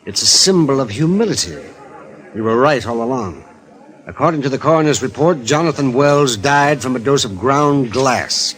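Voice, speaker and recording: Eager, humbled legal official played by a man, wry, very animated delivery, vintage radio drama. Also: mid-range voice, normal speed, close to an everyday voice, poor audio. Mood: humble, wry. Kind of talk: 1950s radio drama acting.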